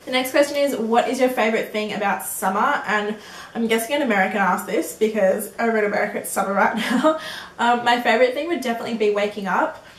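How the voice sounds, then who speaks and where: A woman's voice: on the high side, fast, reverberant, distant mic, low-quality recording. An eighteen-year-old woman answering viewer questions in a casual beauty vlog, chatty and giggly, with lots of ums and likes. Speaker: beauty vlogger.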